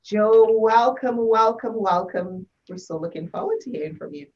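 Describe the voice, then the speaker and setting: Woman hosting an online summit, distant and dull. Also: medium pitch. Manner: relaxed, with many filler pauses. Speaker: event host. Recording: dull audio from a distant mic.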